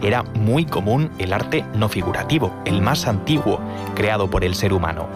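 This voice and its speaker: A man reporting in a scripted news voice-over, reading in an even, measured way. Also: on the low side. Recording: voice-over with loud music underneath.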